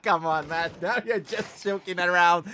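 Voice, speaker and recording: A man from Brazil with a Brazilian Portuguese accent, a native Portuguese speaker casting an esports match alone in English, excitable on plays and chatty in between. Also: high-pitched, a little hiss or hum. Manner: excitable, quick bursts on plays, chatty asides and laughs. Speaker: esports caster. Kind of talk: solo game commentary and chat reading.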